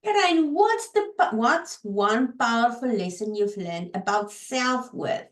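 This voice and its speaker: High-voiced woman with an Afrikaans accent. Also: animated, slow, roomy sound. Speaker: podcast host. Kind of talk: interview questions.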